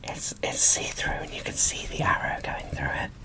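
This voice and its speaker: A woman whispering casual, gushing ASMR commentary as she presents an unboxing, in a breathy, informal way. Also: normal speed.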